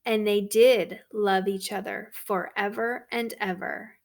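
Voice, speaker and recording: A woman reading a story aloud to children, expressive and deliberate. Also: medium pitch, gravelly. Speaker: storybook reader for third graders.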